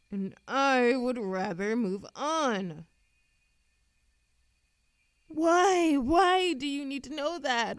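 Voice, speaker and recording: A woman's voice: a little high, clear, resonant tone, no echo. Woman acting a lovesick, defensive immortal, close dry sound. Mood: breezy, deflecting, then defensive, agitated. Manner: halting, stammering, emotional. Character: lovesick immortal woman, defensive, reckless, confessing to a Grim Reaper. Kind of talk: audio roleplay monologue, acted intimately to the listener.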